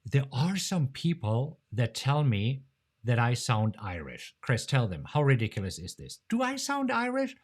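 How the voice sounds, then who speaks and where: A man from Germany with a German accent, hosting a casual live-stream Q&A in a chatty, jokey way, laughing often and jumping up in pitch. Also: wildly swooping pitch, measured pace, breathy edge. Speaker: host of a live trading show.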